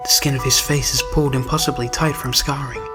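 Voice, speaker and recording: A man's voice: mid-range voice, fairly even intonation, brisk. A man delivering dramatic scripted narration for a horror story. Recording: music under the narration.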